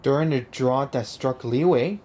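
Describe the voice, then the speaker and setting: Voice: medium pitch, unhurried, mellow, slight background noise. A gaming YouTuber giving casual, slangy, offhand reactions during a let's-play.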